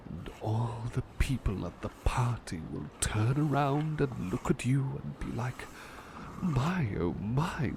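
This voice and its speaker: A man playing a doting, cheeky butler in a gentle ASMR roleplay, repeating himself soothingly and often slipping toward a whisper. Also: medium pitch, gravelly, background noise, full character voice. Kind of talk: ASMR personal attention roleplay.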